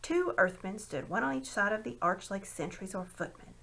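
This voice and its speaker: Expressive woman reading a storybook aloud. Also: mid-range voice, moderate pace, vocal fry. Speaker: amateur audiobook reader.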